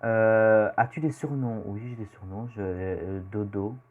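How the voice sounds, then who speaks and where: Belgian teen guy rambling thru a vlog, kinda low voice, muffled lo-fi audio. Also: steady tone, unhurried. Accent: Belgian French. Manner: rambling, hesitant with many fillers. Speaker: youtuber. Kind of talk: casual self-introduction vlog.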